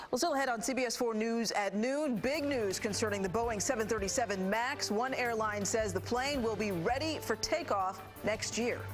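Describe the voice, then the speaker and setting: A woman with an American accent reading scripted news as an anchor, in a formal, polished broadcast delivery. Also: fairly high pitch. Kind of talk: scripted TV newscast narration.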